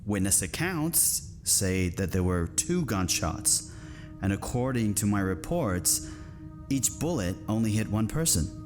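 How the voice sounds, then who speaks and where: A man acting a military council member who questions witnesses in a formal, measured, probing way. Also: on the low side, sing-song, darkish tone, soft background music. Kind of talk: screen drama acting.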